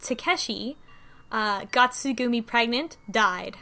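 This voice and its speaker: A woman's voice: a little high, slow pace, creaky, bright, forceful tone. A woman casually recapping a visual novel on a podcast, with lots of ums and restarts. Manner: rambling, many ums and restarts, laughs often.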